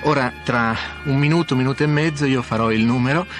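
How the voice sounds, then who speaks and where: Italian guy doing live radio, italian accent, polite and a bit halting, medium pitch, orchestra playing behind him. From Italy. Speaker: radio host. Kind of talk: live radio interview and presenting. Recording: orchestral music under radio talk. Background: orchestral music.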